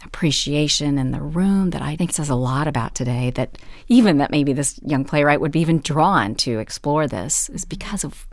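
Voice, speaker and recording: A woman's voice: low voice, expressive, moderate pace, hardly any pauses, no echo, studio quality. A woman guest reflecting emphatically in a conversational panel discussion.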